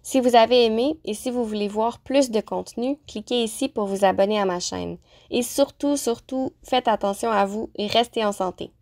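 A woman teacher narrating a lesson, pronouncing example phrases slowly and carefully. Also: on the high side, a little mellow. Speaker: French-as-a-second-language teacher. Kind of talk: clear, deliberate vocabulary lesson narration.

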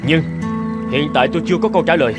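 An actor playing a self-righteous young scholar in a cartoon folk tale, pompous and argumentative. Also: male voice, a little high, very fast, over loud music, lightly acted. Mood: agitated. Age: young adult. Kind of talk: animated folk tale voice acting.

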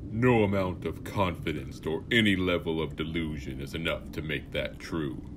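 A man's voice: extremely low pitch, moderate pace, noisy background, pitched below the performer's own voice. A man voicing a haughty, imperious guardian of the underworld gates in a condescending manner, in a fantasy character piece. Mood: contemptuous. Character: haughty, contemptuous male guardian of the Netherrealm gates.

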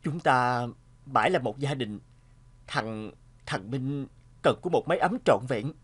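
A man playing a troubled, sentimental family man in an animated drama, speaking earnestly and reflectively. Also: mid-range voice, a little slow, husky. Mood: pained.

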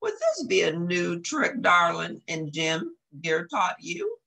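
A woman's voice: lowish voice, huge pitch swings, takes it slowly, gravelly, bright, forceful tone, pitched above the performer's own voice, exaggerated voice. A woman voicing a proper male dog neighbour in a storybook, formal and quaint. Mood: amused surprise.

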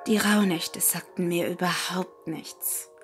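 A woman narrating a first-person scary story in a hushed, unhurried, dramatic manner, with music behind her. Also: on the low side, fairly even intonation. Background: background music.

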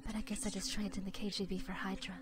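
A woman's voice: mid-range voice, flat delivery, quick pace, noisy background, lightly acted. A woman in a fan dub playing a cool, guarded, wry super-spy agent. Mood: low, earnest. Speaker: amateur voice actor in a fan dub. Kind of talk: fan dub film acting.

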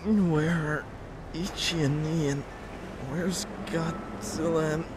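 Man voicing a slow, dramatic wounded dragon. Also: on the high side, fairly even intonation, heavy background noise. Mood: dazed, pained. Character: wounded, wrathful male golden dragon. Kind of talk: melodramatic comic dub acting. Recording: loud music over the voice.

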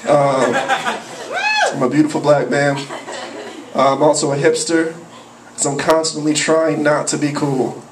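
A young man doing profane open mic stand-up, rambling with a slightly high voice. It is caught by a far camera in a small cafe, so it sounds roomy and distant, with clear room noise behind it. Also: little pitch movement, unhurried, long pauses. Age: young adult. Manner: profane, rambling, jumps into yelped character voices. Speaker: stand-up comedian. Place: small cafe with a live audience. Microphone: handheld mic, filmed from a far camera. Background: audience laughter.

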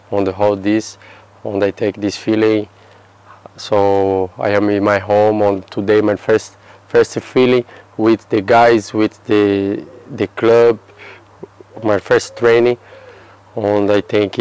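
Slow, low Brazilian man in halting English. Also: a little mellow, clean tone, poor audio. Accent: Brazilian Portuguese. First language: Portuguese. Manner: halting non-native English, many restarts. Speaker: football player. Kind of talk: interview answers. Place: outdoor terrace by a yellow building.

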